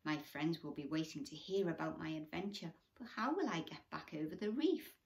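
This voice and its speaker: A woman's voice: low-pitched, expressive, moderate pace, gravelly. A woman voicing a small young female fish in a bedtime story, eager, grateful and wide-eyed. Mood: grateful, excited.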